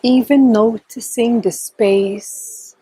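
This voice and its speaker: A woman speaks as a meditation teacher, extremely slowly and gently. Her voice is very breathy and soft, with a mellow tone. She gives calm instructions in short phrases, leaving long pauses between them. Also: a little high, no echo, low-quality recording. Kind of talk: guided meditation, slow and instructional.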